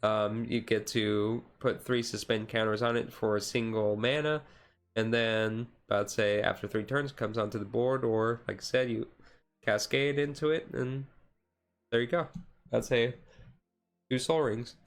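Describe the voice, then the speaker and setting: A man streaming casual, chill card game commentary in a halting, rambling way with frequent asides. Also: medium pitch, steady tone. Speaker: streamer host.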